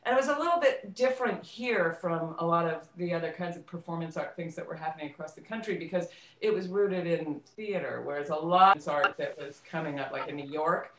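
A woman's voice: lowish voice, a little fast, few pauses, a bit bright. Middle-aged American woman in an echoey room. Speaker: interview guest, theater actress and director. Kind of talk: casual reminiscing in an interview. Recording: roomy, echoey voice pickup.

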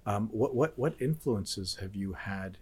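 A man hosts an interview in a lowish voice. He asks questions haltingly, restarting phrases often. He keeps the guests going with soft murmurs of agreement. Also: expressive, slightly rough. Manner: halting, many restarts, encouraging backchannels. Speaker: host. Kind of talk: conversational interview.

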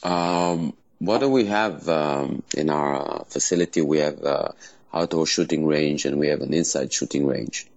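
Very deep gravelly man with Israeli accent. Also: darkish tone, no echo. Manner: halting, many pauses and fillers. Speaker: martial arts school owner. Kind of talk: casual interview answers.

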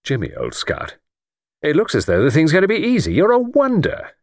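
Man voicing an earnest male sleuth, wide-ranging pitch. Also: huge pitch swings, fairly quick, vocal fry, dry recording, clean studio sound, heavily acted. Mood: relieved, grateful. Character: earnest, thoughtful amateur sleuth, a grateful male friend. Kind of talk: audiobook character dialogue.

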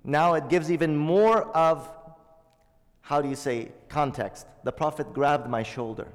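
A man's voice: a little high, measured pace. Man teaching in an echoing hall. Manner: explains Arabic terms, asks the audience questions. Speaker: Islamic teacher. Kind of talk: hadith lesson to a congregation.

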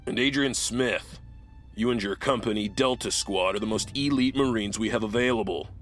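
A man acting as a stern military commander briefing a crew, formal and deliberate, in a sci-fi audio drama. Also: fairly low pitch, fairly bright tone, soft background music, close to an everyday voice.

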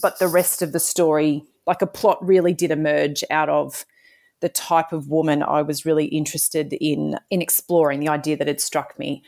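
Low-pitched aussie woman, author, rambly and thoughtful in an interview, lots of ums. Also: level tone, normal speed, darkish tone. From Australia. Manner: thoughtful, meandering, many fillers and restarts. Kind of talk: interview answers.

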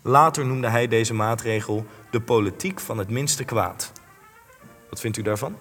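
Blunt, husky young interviewer. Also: male voice, medium pitch, unhurried, a bit bright. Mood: grave, accusing. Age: young adult. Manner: blunt, probing, insistent. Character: blunt, relentless male interviewer confronting a war veteran. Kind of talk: Dutch-language radio play acting. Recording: long echoing hall, music underneath.

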